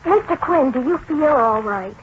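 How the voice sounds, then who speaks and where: A woman's voice: high-pitched, lively intonation, normal speed. An actress playing a kind, principled young newspaperwoman in a 1940s radio drama, earnest and pleading. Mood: concerned, gentle. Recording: muffled, noisy 1940s radio recording.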